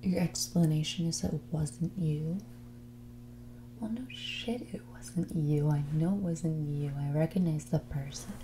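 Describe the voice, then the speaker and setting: A woman playing a spoiled, haughty young heiress in a whispered, soft-spoken ASMR roleplay, with a condescending, drawling manner. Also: low voice, unhurried, a little hiss or hum. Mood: bored, indignant. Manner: snobbish, condescending, drawling, filler-laden valley-girl phrasing.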